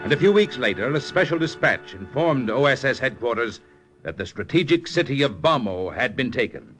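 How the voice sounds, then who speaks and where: A male announcer in 1940s radio style, forceful and bright at a medium pitch. His delivery is scripted and animated, with faint music behind him on an old recording. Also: moderate pace.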